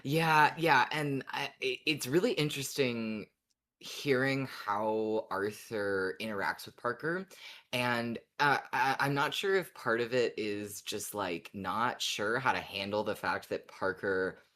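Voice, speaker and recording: A woman with a strikingly deep voice co-hosts a talk show. She chats in a loose, informal way, often agreeing and working out her thoughts as she speaks. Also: unhurried, fairly bright tone. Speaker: podcast co-host. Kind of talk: casual chat.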